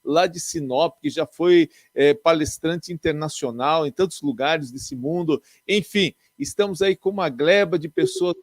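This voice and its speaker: A man's voice: a little high, unhurried, few pauses, no echo, studio quality. A man, a pastor, hosting an interview in a chatty style with frequent exclamations of praise. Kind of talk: casual interview.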